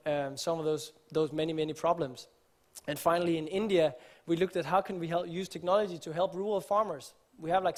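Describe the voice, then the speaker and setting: A man from Denmark, giving an earnest conference talk on stage, explaining with frequent fillers and restarts. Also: a little high. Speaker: conference speaker. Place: conference stage before an audience.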